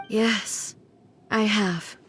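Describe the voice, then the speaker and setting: An actress playing a regal, sorrowful and resolute thunder deity in a video game, speaking in grave, formal declamation. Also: female voice, medium pitch, a bit bright, breathy edge, full character voice. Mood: weary, resolute. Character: solemn, regal electro archon, female, sorrowful and resolute. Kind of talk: dramatic video game voice acting.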